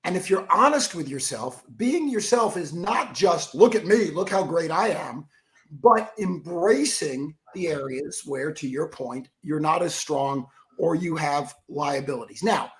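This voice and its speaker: A man with a froggy, rough voice telling stories as an energetic interview guest, acting out the quotes. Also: a little high, a little slow. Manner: energetic storyteller who acts out quotes. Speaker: guest, keynote speaker and author. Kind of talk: animated interview talk.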